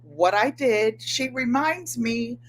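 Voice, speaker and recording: Host, woman, 70s, New England accent, nasal twang, excitable livestream chat at home, higher-pitched. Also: slow pace. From Connecticut, USA. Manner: chatty, excitable, gestures with hands. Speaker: channel host. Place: home room with bookshelves.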